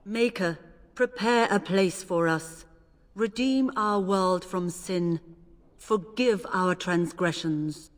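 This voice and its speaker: A woman playing a devout, gently reproving priestess in a video game, speaking in a reverent, formal, measured manner. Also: fairly low pitch. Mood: solemn, pious. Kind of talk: video game cutscene acting. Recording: long reverb, music underneath.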